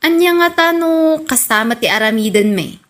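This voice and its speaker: Actress as a high-voiced complaining village woman. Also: animated, normal speed, fairly bright tone. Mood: indignant, gossipy. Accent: Ilocano. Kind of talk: Ilocano radio comedy drama acting.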